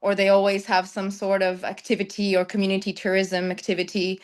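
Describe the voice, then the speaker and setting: A woman's voice: mid-range voice, monotone, fairly quick, clear, resonant tone. A young woman who grew up in the Netherlands, a travel content creator answering interview questions thoughtfully, with many ums and light laughs. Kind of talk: conversational interview.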